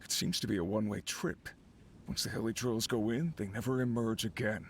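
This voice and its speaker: An actor playing an easygoing but uneasy miner who leads a crew, speaking in an earnest, conversational, explanatory way as video game dialogue. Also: male voice, on the low side, a little fast, slight background noise, close to an everyday voice. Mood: uneasy, puzzled. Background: game background music.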